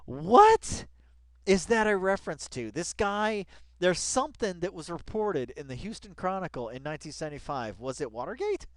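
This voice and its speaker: A man's voice: high voice, very animated, moderate pace, no echo. A man giving casual commentary as he reads aloud, rambling with exclaiming, self-interrupting asides and a sarcastic, mock-dramatic delivery. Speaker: online commentator. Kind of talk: casual commentary while reading an article aloud.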